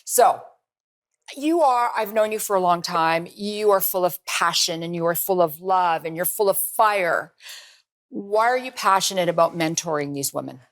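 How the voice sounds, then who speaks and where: A woman with a Canadian accent, hosting a television interview show and questioning her guest in an expressive way. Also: lowish voice, moderate pace. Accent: Canadian English. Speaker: talk show host.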